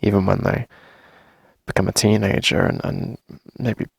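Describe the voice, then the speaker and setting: A man playing a tender, reassuring boyfriend in an intimate ASMR roleplay, speaking softly and close to the mic with gentle, coaxing pauses. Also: fairly even intonation, no echo, heavily acted. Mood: fond, earnest. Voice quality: husky, breathy, creaky low murmur. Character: tender, reassuring boyfriend longing to start a family. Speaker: ASMR audio roleplay performer. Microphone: close dry microphone.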